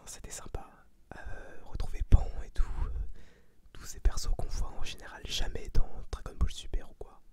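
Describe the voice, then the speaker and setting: A young man giving a casual ASMR film review in a breathy whisper, slangy and often searching for words. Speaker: ASMR video creator.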